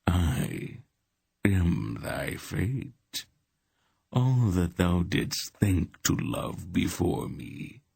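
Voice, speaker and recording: A man voicing a menacing ancient male dark god in an audiobook, with a rasping voice and slow, drawn-out, purring menace. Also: low-pitched, dry recording, studio quality, heavily acted. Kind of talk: audiobook character voice.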